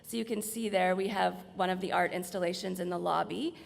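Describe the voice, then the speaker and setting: A woman with a slightly hoarse voice gives an informal, explanatory slide presentation in a large room. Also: fairly low pitch, steady tone, brisk. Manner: explanatory, conversational. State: slightly hoarse, losing her voice. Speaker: presenter from hospital staff. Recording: reverberant hall.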